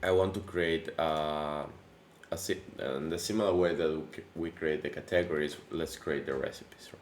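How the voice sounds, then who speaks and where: A man hosting a casual coding tutorial, his voice deepened by allergies, talking in a relaxed, chatty way with many fillers and restarts. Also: normal speed. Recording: echoey room with faint background noise.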